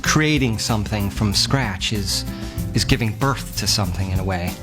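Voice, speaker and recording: An American man talks calmly to camera about painting, pausing with fillers. His voice sits fairly low, with faint guitar music behind. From Illinois, USA. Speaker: portrait painter and art teacher. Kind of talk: interview to camera.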